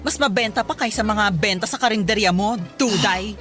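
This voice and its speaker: An actress with a Filipino accent playing a haughty, boastful young rival vendor who taunts in a radio drama. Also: female voice, mid-range voice, moderate pace, a bit bright, faint music behind, close to an everyday voice. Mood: smug. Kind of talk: Tagalog radio drama acting.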